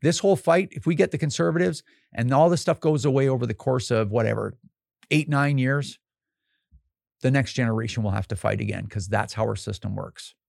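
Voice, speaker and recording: A man's voice: medium pitch, fairly quick, creaky, darkish tone, no echo, studio quality. A man hosting a podcast, talking casually and emphatically with lots of fillers and restarts, his voice getting worn out. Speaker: podcast host.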